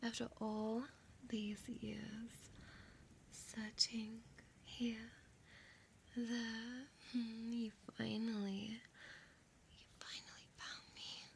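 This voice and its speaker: An ancient vampire seductress, played by a woman whispers intimately in an audio roleplay. Her breathy, fairly high voice stays level and unhurried, drawing out each phrase with possessive, teasing affection. Some background ambience sits behind the close whisper. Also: little pitch movement, a little hiss or hum, full character voice, poor audio. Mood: smug, possessive. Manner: slow, drawn-out, teasing delivery.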